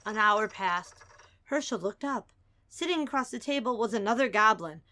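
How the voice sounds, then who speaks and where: A woman's voice: on the high side, lively intonation, moderate pace, sharp tone. A woman narrating a children's story as a dramatic storybook reading.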